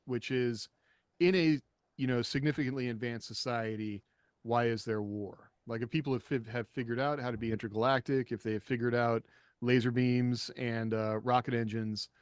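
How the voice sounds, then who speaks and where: A man hosts a podcast in a casual, conversational way. His voice sits at an average male pitch and the sound is very dry, with little room in it. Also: no echo. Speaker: podcast host and author. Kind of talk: casual podcast hosting and discussion.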